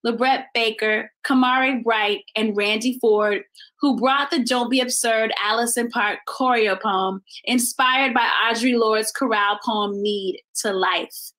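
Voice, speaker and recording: American woman, middle-aged, bright forward tone, deliberate speechmaker reading prepared thanks. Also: on the high side, fairly even intonation, low-quality recording. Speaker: artist giving thanks at an exhibition opening. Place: indoor room at home, video recording.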